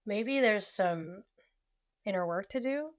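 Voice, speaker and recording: Laid back woman guest on a podcast, agreeable, mid pitch. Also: animated, unhurried, no echo, clean studio sound. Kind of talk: casual chat.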